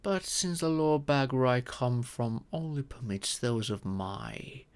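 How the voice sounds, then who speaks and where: Man voicing a slow, creaky, gentle dragon parent. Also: medium pitch, lightly acted. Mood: confiding. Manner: formal, measured, patient, explanatory. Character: gentle ancient dragon in humanoid form, doting adoptive parent. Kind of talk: scripted audio roleplay monologue.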